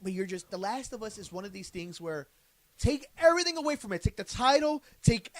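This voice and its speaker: A high-voiced man co-hosting a podcast, pressing his opinions emphatically and restarting sentences as he goes. The sound is close and dry, with no room around it. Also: animated, fairly quick, no room sound. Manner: emphatic, argumentative, restarts often. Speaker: podcast co-host. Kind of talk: casual chat.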